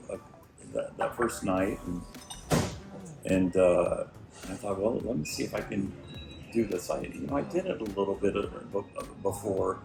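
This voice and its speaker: Gravelly low-voiced middle-aged man, hesitant. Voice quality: raspy. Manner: hesitant, many restarts and fillers. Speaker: interview guest. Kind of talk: casual storytelling interview. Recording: echoey room with music behind.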